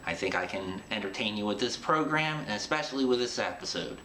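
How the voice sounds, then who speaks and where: A man's voice: on the low side, animated, brisk, pushed and bright. A man hosting an interview show, talking in a chatty, informal way. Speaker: host. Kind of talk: podcast interview and show introduction. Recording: roomy echo with clear background noise.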